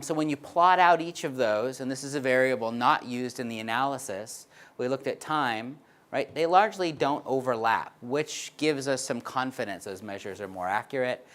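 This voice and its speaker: A man's voice: mid-range voice, moderate pace, fairly bright tone. A man giving a conference talk on research findings, explaining charts with frequent fillers and "right?" checks. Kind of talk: conference presentation.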